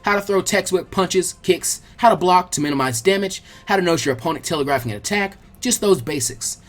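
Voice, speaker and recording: A man reading a story aloud as an expressive, colloquial audiobook narrator, with a husky voice. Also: high-pitched, a little fast. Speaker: fan fiction audiobook narrator.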